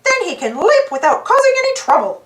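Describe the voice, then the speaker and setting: A woman voicing a cheerful, helpful male cartoon mouse, in a high, bright, eager tone. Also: very animated, fairly quick. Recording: roomy echo.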